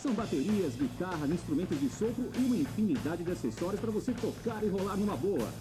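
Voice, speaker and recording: High-pitched punchy ad announcer man. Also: big pitch swings, fast talker. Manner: punchy, salesy. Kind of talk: energetic radio-style ad read. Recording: ad with clear music underneath.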